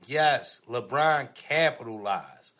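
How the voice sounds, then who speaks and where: A man's voice: mid-range voice, lively intonation, takes it slowly, pushed and bright. An American man co-hosting a podcast, debating casually in an insistent, argumentative, slangy way. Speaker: podcast co-host.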